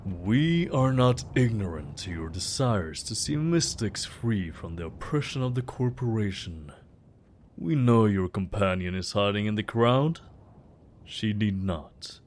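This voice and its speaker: Casting: male actor as lordly male vampire ruler for audio drama, ceremonial and archaic, unhurried, with expressive pitch. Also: a little hiss or hum. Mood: measured, knowing. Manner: grand, stately, archaic diction.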